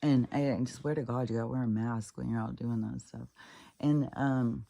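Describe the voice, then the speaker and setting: A woman's voice: very deep voice, steady tone, moderate pace, vocal fry, mellow. A middle-aged woman with a stuffed-up nose, rambling through a casual personal monologue, swearing freely and restarting her sentences often. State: congested, stuffed up. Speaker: spiritual vlogger.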